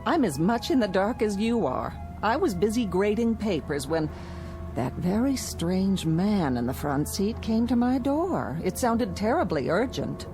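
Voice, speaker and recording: A woman's voice: medium pitch, big pitch swings, a little slow, music in the background. An actress playing a composed, curious lady schoolteacher with a wry, articulate manner in a radio drama. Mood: puzzled.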